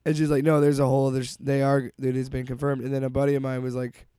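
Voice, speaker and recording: A man co-hosting a casual podcast chat, hoarse from a cold, rambling and restarting his sentences. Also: medium pitch, flat delivery, fast talker, mellow, dry recording, clean studio sound. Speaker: podcast co-host.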